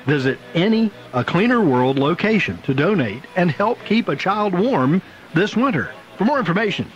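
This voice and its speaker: A man's voice: high-pitched, very animated, moderate pace. A male radio DJ with jokey, folksy patter between oldies records. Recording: muffled radio aircheck with loud music. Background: loud music.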